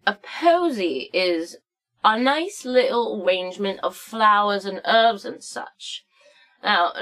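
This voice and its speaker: A woman playing a crotchety, boozy old woman who tells comic history stories in a theatrical, rambling, halting way. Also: mid-range voice, fairly bright tone. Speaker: story-time host. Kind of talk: comic storybook narration and history lesson.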